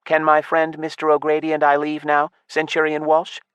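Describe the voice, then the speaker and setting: A man voicing an earnest young elf girl with a raised, higher voice in an audiobook. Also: monotone, normal speed, a bit bright, smooth, no echo, close to an everyday voice.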